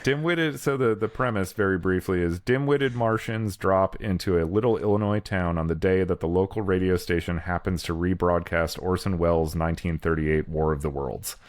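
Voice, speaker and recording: A man's voice: low-pitched, moderate pace, few pauses, no room sound, studio quality. A man co-hosting a podcast, thinking aloud in casual, slangy conversation. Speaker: podcast co-host. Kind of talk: casual brainstorming chat.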